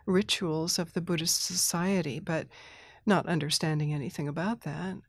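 A middle-aged woman in a reflective interview, her voice sitting a touch low. She talks thoughtfully, with small restarts, soft laughs and the odd throat clearing. The sound is dry and close. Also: steady tone, normal speed, no room sound. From Thailand. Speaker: interview guest.

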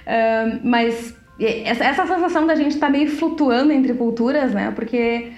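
Brazilian woman co-host, slightly high, chatty, laughs a lot, echoey room with faint music. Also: unhurried, darkish tone. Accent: Brazilian Portuguese. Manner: chatty, laughs often, stretches words. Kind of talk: casual conversational chat.

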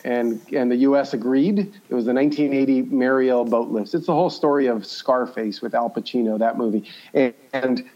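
Male interview guest, mid-pitched, conversational storyteller who repeats for emphasis, slightly dull lo-fi recording. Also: fairly even intonation, moderate pace, hardly any pauses, mellow. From born in Cuba, grew up in the United States. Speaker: podcast guest, entrepreneur.

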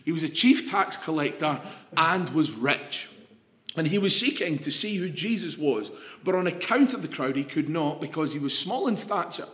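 A Scottish man preaching an evening sermon with a slightly croaky voice, chatty and putting questions to the congregation. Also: fairly high pitch, fairly bright tone, reverberant. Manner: conversational, asks the congregation questions.

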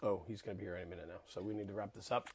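A man's voice: deep voice, fairly even intonation, racing, a little mellow, breathy edge. Rambling, teasing male podcast host. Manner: joking, teasing, rambling with fillers. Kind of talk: casual banter interview. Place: studio with cameras.

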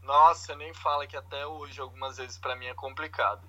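A man's voice: mid-range voice, steady tone, moderate pace, bright, forceful tone, highs cut off. A Brazilian man chatting casually and informally in Brazilian Portuguese, telling anecdotes with plenty of slang, as a podcast host. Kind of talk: casual conversational chat.

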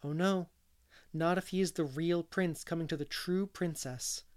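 A man's voice: fairly high pitch, steady tone, measured pace, darkish tone, smooth, close to an everyday voice. A man reading as an earnest, kindly young woman from a storybook, romantic and dreamy yet firm, with literary phrasing.

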